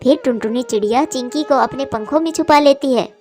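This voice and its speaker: A woman's voice: sits high, fairly quick, a little mellow, soft background music. A woman giving scripted narration for a children's cartoon story.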